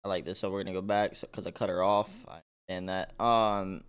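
A man's voice: deep voice, fairly even intonation, fast talker, clean tone. A man giving slangy, appreciative reaction commentary as a video host.

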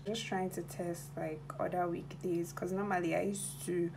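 A woman's voice: fairly low pitch, little pitch movement, normal speed, gravelly, background noise. A young woman chatting casually to her camera as a vlogger, talking loosely with lots of "like" and "so". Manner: chatty, leans on "like" and "so". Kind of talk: casual vlog chat to camera.

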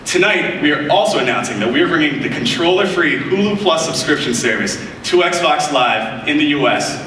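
A man's voice: fairly high pitch, lively intonation, normal speed, sharp tone, far from the mic, a little hiss or hum. A young man giving an upbeat, scripted product demonstration on a large keynote stage. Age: young adult. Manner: upbeat, enthusiastic presenter. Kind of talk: scripted live product demo. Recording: echoey hall audio picked up from afar.

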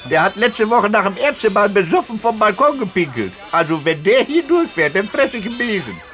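An actor playing a tactless, rambling ship announcer who gossips bluntly in a radio comedy. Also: male voice, high-pitched, big pitch swings, a little fast, fairly bright tone, echoey room, faint music behind. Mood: self-important. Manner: rambling, chatty, blunt, tags sentences with "ne". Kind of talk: radio comedy sketch interview.

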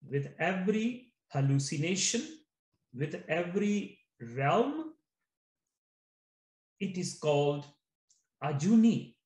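A man teaching a guided meditation in measured, repetitive phrases with frequent pauses. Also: high voice, echoey room. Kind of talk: guided meditation, slow deliberate instruction.

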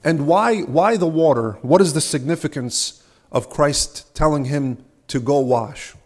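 Young man preaching from a church lectern. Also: fairly high pitch. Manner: earnest, measured preaching with rhetorical questions. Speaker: subdeacon preaching. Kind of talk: sermon. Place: large ornate church, at a lectern. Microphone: lectern microphone.

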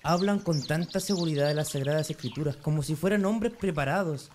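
A proud young Pharisee guarding tradition in a radio drama, played by a man. He is earnest and resolute, with a mellow, slightly high voice. Faint noise like trickling water runs behind him. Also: brisk, close to an everyday voice. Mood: indignant. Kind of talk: amateur Spanish-language radio drama acting.

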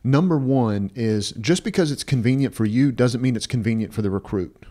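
A man's voice: mid-range voice, moderate pace, dark tone. A man in his fifties co-hosting an advice podcast, talking bluntly and emphatically, repeating phrases and checking in with "right?". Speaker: podcast co-host. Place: small indoor podcast studio. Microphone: close podcast mic on stand.

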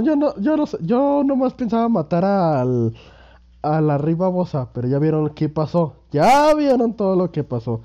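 A man's voice: on the high side, lo-fi sound. A young man in his twenties with a Mexican accent, sick with a cold, rambling through casual gameplay commentary with crude slang and self-mocking jokes. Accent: Mexican Spanish. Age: 20s. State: sick with a cold and sore throat. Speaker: gaming youtuber.

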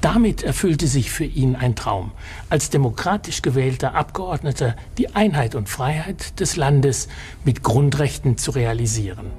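A man's voice: mid-range voice, lively intonation, normal speed, darkish tone, a little hiss or hum. A man giving explanatory commentary on history for a documentary.

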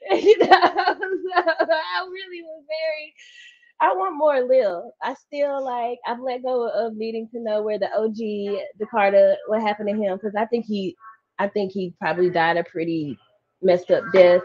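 A woman chatting casually in a book discussion on a video call, expressive and slangy. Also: a little high, moderate pace. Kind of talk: casual chat. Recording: dull, lo-fi video call audio.

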